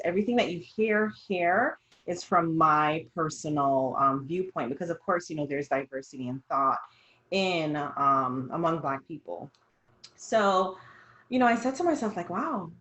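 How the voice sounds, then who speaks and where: Jamaican-accented woman in her 30s, lowish voice, chatty and earnest, lots of "you know"s. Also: fairly bright tone. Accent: slight Jamaican. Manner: earnest, storytelling, frequent fillers. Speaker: dietitian, host. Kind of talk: casual conversational discussion.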